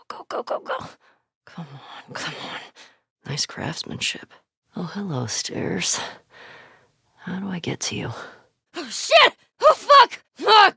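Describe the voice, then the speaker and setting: A woman's voice: high voice, creaky, sharp tone, dry recording, heavily acted. Game role: young American female survivor, sardonic, blunt, foul-mouthed, naturalistic delivery, dry studio sound. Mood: hushed, wary, then furious, shouting. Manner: blunt, sweary. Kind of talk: naturalistic video game acting.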